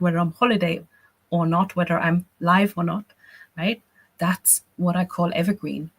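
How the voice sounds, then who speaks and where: Chatty encouraging woman from Austria, slightly low. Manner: chatty and encouraging, frequent "right?" and "you know". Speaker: business coach running a workshop. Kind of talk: casual live workshop teaching.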